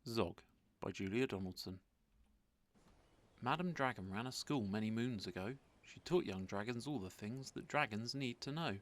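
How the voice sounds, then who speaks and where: A man reading a rhyming children's story aloud as an expressive narrator. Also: lowish voice, normal speed. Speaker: storybook reader.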